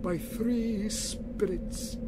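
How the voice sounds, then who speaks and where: A man voicing a tormented old male ghost dragging chains, with slow, drawn-out wailing and anguished cries, in a noisy audiobook recording. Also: high voice, fairly even intonation, pitched above the performer's own voice. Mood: doom-laden. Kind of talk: audiobook reading.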